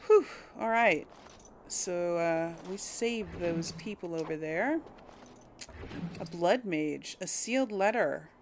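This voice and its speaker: A woman's voice: fairly low pitch, slow pace, background noise. A woman giving casual let's play commentary, chatting to her viewers and thinking aloud as she plays. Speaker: video game player hosting a series. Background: game music.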